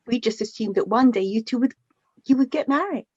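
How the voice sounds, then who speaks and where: Woman acting middle-aged widowed mother, gentle, flustered, halting speech, slightly husky, muffled radio play sound. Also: fairly high pitch, no echo. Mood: fond, nostalgic. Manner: hesitant, halting, repeating words, sighing. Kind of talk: naturalistic radio drama dialogue.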